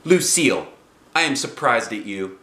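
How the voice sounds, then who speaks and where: A man voicing a self-assured, excitable little kindergarten girl who tells her storybook tale in emphatic childlike grammar. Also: mid-range voice, normal speed, echoey room. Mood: bossy. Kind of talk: first-person children's storybook reading.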